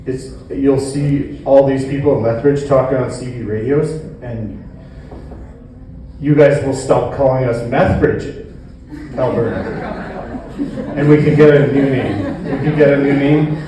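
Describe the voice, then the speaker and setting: A man doing a stand-up comedy routine on stage, with a halting, rambling delivery. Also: medium pitch, crowd noise. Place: indoor comedy venue stage. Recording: echoey room, distant mic, faint noise.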